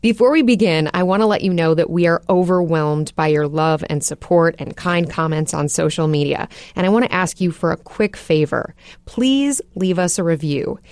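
A woman's voice: fairly low pitch, moderate pace, darkish tone, no room sound, clean studio sound. Upbeat woman hosting a podcast. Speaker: podcast host. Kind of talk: interview podcast, scripted intro.

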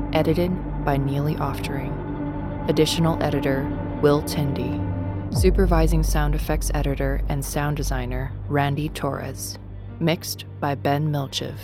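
Female credits announcer, very low register, scripted delivery, clear music bed underneath.

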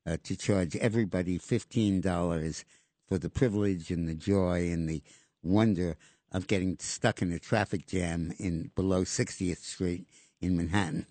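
Deep, dark-voiced male host. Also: fairly even intonation, moderate pace, no room sound. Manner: wordy, repeats himself mid-sentence. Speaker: radio talk host. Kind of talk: interviewing a guest.

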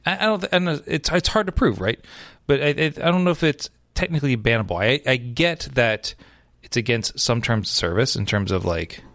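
A man's voice: medium pitch, lively intonation, moderate pace, fairly bright tone, no echo, studio quality. A man co-hosting an esports podcast in casual chat, rambling with fillers and laughter. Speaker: podcast co-host.

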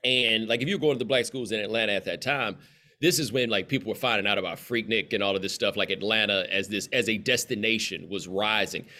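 A man's voice: on the low side, fast talker, bright, forceful tone. A man in his forties with a Southern American accent, a guest telling stories in a casual, idiomatic, emphatic way. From southern United States. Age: 40s. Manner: expansive, idiomatic, emphatic storytelling. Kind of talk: casual conversation.

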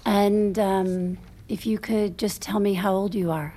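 An actress playing a gentle woman who records intimate confessions in an audio drama, soft-spoken, careful and reassuring. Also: medium pitch, fairly even intonation, measured pace, a little hiss or hum, close to an everyday voice. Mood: calm, encouraging. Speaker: interviewer.

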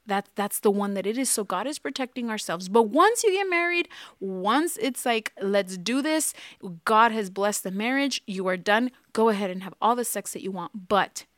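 A woman's voice: mid-range voice, animated, moderate pace, a bit bright, no echo, clean studio sound. A woman co-hosting a faith podcast with her husband, talking in an earnest, emphatic way. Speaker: podcast co-host. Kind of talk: casual chat.

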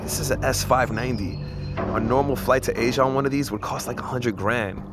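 A man's voice: mid-range voice, a little fast, close to an everyday voice. A man acting as a casual, reassuring survivor in an audio drama, coaxing a companion, with music and vehicle sounds behind. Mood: brash, upbeat. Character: upbeat, reassuring man keeping exhausted companion awake.

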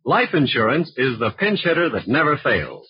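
A man announcing and pitching insurance in scripted 1940s radio advertising style, emphatic and persuasive. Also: sing-song, normal speed, breathy edge. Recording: muffled vintage radio recording.

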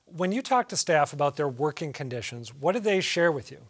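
A man hosts a public radio talk show in a slightly higher register. He moderates in a brisk, conversational way and keeps the talk moving. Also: lively intonation.

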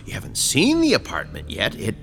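Courteous, sinister landlord, swooping intonation. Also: male voice, on the low side, huge pitch swings, vocal fry, a bit bright, slight background noise, exaggerated voice. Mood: smoothly evasive. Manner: formal, polite, measured, evasive. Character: courteous, faintly sinister male landlord of an apartment building. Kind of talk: modern audio drama acting.

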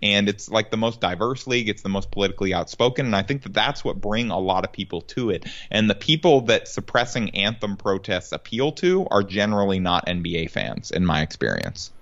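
A man's voice: on the low side, sing-song, fairly bright tone, highs cut off. A man co-hosting a sports podcast, talking loosely with many "like"s and false starts. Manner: fast, loose chat full of "like" and false starts. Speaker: podcast co-host. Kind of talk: casual sports talk.

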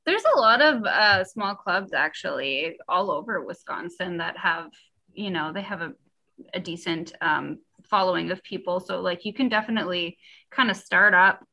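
A woman's voice: lowish voice, animated, normal speed, gravelly, pushed and bright, poor audio. A young woman from Ontario, a guest musician chatting casually in an interview, laughing often and trailing off with fillers. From Ontario, Canada.

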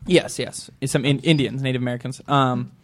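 Fast-talking man telling bar stories. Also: mid-range voice, fairly even intonation. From Montana. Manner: rambling, laughs through his stories. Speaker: show host. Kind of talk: casual storytelling chat.

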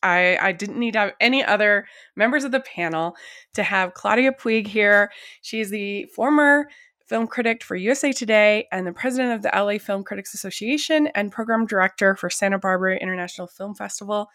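A woman's voice: mid-range voice, a little fast, fairly bright tone. Enthusiastic woman hosting a film podcast. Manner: enthusiastic, rambling with restarts. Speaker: podcast host. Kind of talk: casual interview chat.

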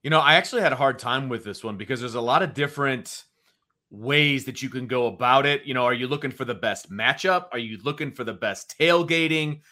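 A man's voice: medium pitch, brisk, sharp tone. A man co-hosting a sports talk show, chatting casually with emphatic opinions.

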